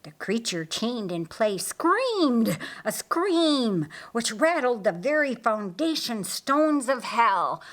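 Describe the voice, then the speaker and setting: A woman's voice: on the high side, very animated, slow, hardly any pauses. A woman narrating an audiobook with dramatic, suspenseful and emphatic scripted storytelling.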